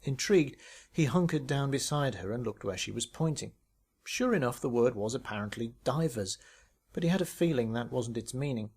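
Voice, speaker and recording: A man's voice: mid-range voice, animated, normal speed, a little mellow, slightly rough. A man reading his own short story as a scripted narration.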